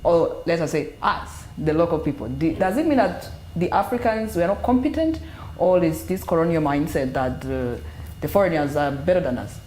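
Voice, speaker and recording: Low-voiced woman asking probing questions. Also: lively intonation, moderate pace, darkish tone, slight background noise. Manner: probing, builds a question step by step. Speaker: panelist. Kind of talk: panel discussion. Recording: echoey room.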